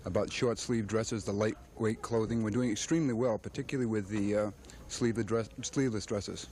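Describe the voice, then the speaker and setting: A man's voice: on the low side, steady tone, a little fast, darkish tone. A man answering as a store employee in an archival television news interview. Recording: archival TV interview, faint noise.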